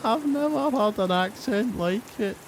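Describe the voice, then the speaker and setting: A man's voice: high-pitched, expressive, measured pace, background noise, voice pushed up. A man voicing a starstruck, guffawing fan with an exaggerated, gushing manner.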